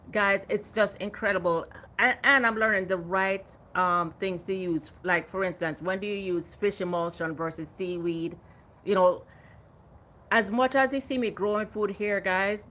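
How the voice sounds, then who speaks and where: A woman in her fifties hosts a relaxed gardening livestream and talks in a lively, chatty way. She often stops to read out viewer comments. Her voice is bright and crisp, and there is a faint hum of room ambience behind her. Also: lowish voice. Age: 50s. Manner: animated, laughing, reading out viewer comments. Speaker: gardening channel host. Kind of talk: casual livestream chat.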